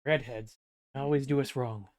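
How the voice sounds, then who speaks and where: A woman's voice: very deep voice, steady tone, normal speed, a little mellow, no echo. A woman making casual, playful, teasing remarks as co-host of a gaming show. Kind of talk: casual reactive banter.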